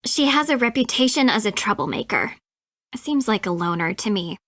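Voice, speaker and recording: A woman's voice: medium pitch, expressive, normal speed, a bit bright, no echo, studio quality. An actress voicing a snippy, defensive young American woman in an adventure game, sarcastic, clipped and emphatic. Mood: worried, earnest. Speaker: video game character, voice actress. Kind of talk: acted dialogue in an adventure game.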